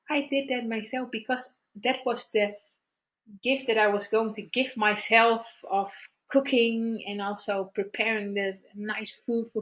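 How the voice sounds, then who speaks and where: A middle-aged woman, a guest therapist, telling her personal story in conversation, speaking haltingly and repeating words as she searches for her thoughts. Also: on the high side.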